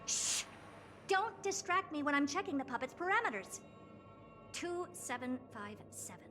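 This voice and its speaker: An actress playing a haughty, playful genius scientist in a video game, self-assured, dismissive and theatrical in manner. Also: female voice, high voice, big pitch swings, moderate pace, fairly bright tone, soft background music. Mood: focused, impatient. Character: haughty, playful genius woman scientist who builds puppets.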